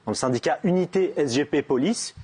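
A man's voice: fairly high pitch, expressive, fairly quick, mellow, slightly rough. A man in a political discussion, arguing his point with stammering restarts.

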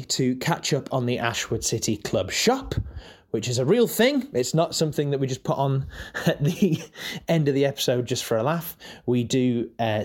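Male podcast director, mid-range voice, informal and rambling, lightly self-deprecating behind-the-scenes chat. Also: medium pitch. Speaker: podcast director, editor and voice actor.

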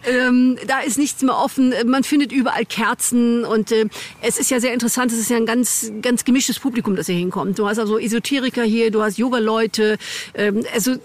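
A woman's voice: on the high side, fairly even intonation, a little fast. Woman explaining things like a guide. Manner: explanatory, talkative, candid. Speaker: local guide being interviewed. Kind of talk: informal spoken explanation of local history and legends.

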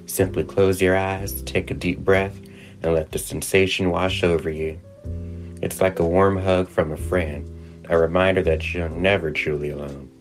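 A man acts out a smooth salesman in a mock radio commercial. His voice sits very low and he sells in a measured, reassuring way, with light music behind him. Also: steady tone, natural voice. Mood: soothing sales pitch. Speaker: advertisement announcer. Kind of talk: scripted infomercial voice-over.

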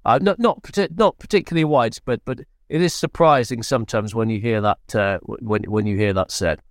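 A British man giving unscripted analysis as a correspondent in an interview, speaking in a measured, hesitant way with frequent restarts. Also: medium pitch, no echo, clean studio sound. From Britain. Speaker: foreign correspondent, interview guest.

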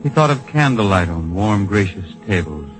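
An actor narrating a tragic radio drama in a solemn, poetic, storytelling manner. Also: male voice, low voice, big pitch swings, normal speed, rough recording. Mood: somber. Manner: lyrical, grave storytelling. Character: solemn, poetic male narrator of a patriotic tragedy. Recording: vintage radio recording with music behind.

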